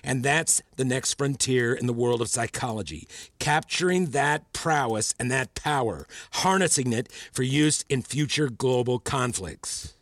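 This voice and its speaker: An erudite male mentor in a podcast drama, recorded close and dry. His mid-pitched voice is measured and teacherly, with a probing, patronising manner that steers whoever he addresses. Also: a bit bright, dry recording. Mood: measured, instructive. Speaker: voice actor in a fiction podcast. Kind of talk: acted audio drama dialogue.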